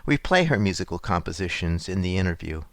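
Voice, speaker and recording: A man hosting an interview show, reading a calm scripted intro and asking measured questions. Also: sits low, no echo, studio quality. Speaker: host.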